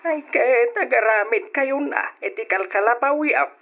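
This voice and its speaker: A man playing a smooth-talking, pushy family man in an old Ilocano radio drama, on a dull recording. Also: very high voice, moderate pace. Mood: pushy, insistent.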